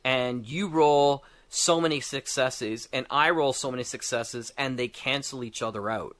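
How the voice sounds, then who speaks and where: A man in a casual podcast chat, animated and rambling as he thinks aloud and slips into anecdotes. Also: mid-range voice, measured pace. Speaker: guest, audio drama creator designing a tabletop game.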